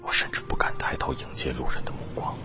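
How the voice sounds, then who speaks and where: A man's voice: fast talker, in a whisper, natural voice. An actor playing a brooding young teacher, narrating his own story in a radio drama. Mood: dejected. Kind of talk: dramatic first-person narration. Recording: dull audio under loud music.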